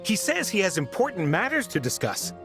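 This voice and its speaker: A man's voice: sits high, wildly swooping pitch, fairly quick, over music, full character voice. A man voicing a flustered, earnest young knight messenger in a video game, urgent and polite. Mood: relieved, urgent.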